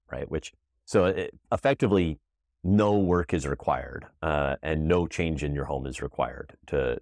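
A man's voice: very deep voice, dry recording, clean studio sound. A man talking casually as an interview guest, explaining things in a plain, conversational way. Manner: conversational, explains with everyday analogies. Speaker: guest, appliance company founder.

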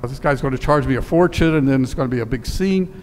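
A man in his sixties preaching a sermon, telling a story and dropping to a quiet, slow emphasis on key words, standing in a church sanctuary on a headset mic. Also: medium pitch, a little hiss or hum. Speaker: priest. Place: church sanctuary in front of altar. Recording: long church reverb with faint ambience.

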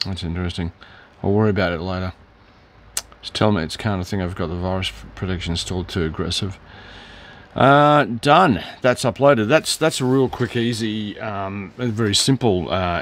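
A hoarse middle-aged man, rambling through a casual product demo in his hobby shop, with lots of fillers and self-corrections. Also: lowish voice. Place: hobby shop, shelves behind.

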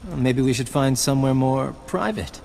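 An actor playing a flirtatious resistance fighter in a video game, easygoing, confident and wry. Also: male voice, mid-range voice, normal speed, vocal fry, darkish tone, slight background noise, lightly acted. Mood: hopeful, rueful. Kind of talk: video game dialogue acting.

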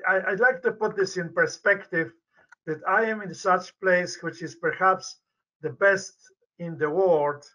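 An older man with a Polish accent talks unhurriedly, circling back and restarting his phrases as he explains. His voice sits fairly high and comes through bright. It arrives through a dull, lo-fi video call line from an office. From Poland. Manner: deliberate, hesitant with restarts. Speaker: medical researcher. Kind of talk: interview answers over video call. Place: indoor office with banner behind. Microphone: webcam on video call.

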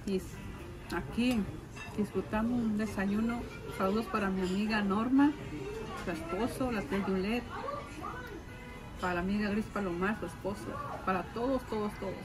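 A woman's voice: medium pitch, normal speed, rough recording. Teasing woman chatting at the breakfast table. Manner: teasing, short joking replies. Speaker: vlogger's wife. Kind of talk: casual table chat. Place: restaurant booth by a window. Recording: music playing behind.

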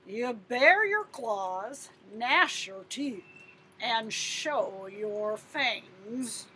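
A woman's voice: a little high, expressive, very slow, sharp tone, a little hiss or hum, voice pushed down, heavily acted. A woman voicing a stern, curt adult male lion teacher in a picture book. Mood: instructing.

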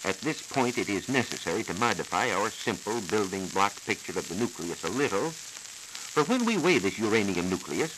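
American man, lowish pitch, animated intonation, formal science reporter, scripted 1940s radio delivery, measured, didactic. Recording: hissy, crackly 1940s transcription disc.

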